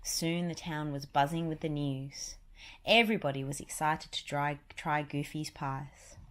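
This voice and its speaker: A woman's voice: sits low, measured pace, a bit bright. A woman narrating a children's picture book, reading it aloud as a story.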